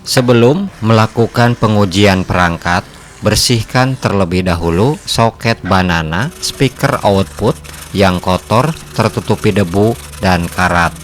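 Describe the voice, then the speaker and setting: A man reading scripted narration for a repair tutorial in a measured, formal, instructional way. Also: fairly low pitch, slight background noise. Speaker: host of an electronics repair channel.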